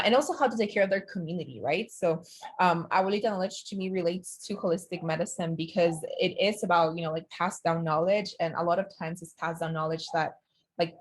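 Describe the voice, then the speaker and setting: Soft-pitched woman from Peru, talking casually. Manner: conversational and searching, many fillers and self-corrections. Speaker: guest speaker. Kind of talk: casual online talk answering audience questions.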